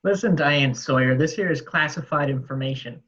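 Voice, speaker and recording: A man's voice: fairly high pitch, moderate pace, fairly bright tone, muffled recording, natural voice. A man reading a curt, sarcastic police detective in his late thirties, in an amateur table read of a film script. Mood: curt, dismissive.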